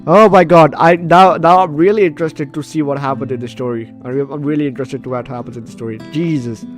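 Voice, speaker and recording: A man's voice: a little high, steady tone, fast. Man playing and narrating a game, music behind. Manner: stumbling, repeats and rereads phrases. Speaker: gaming video host. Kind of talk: casual let's play, reading game text aloud with commentary.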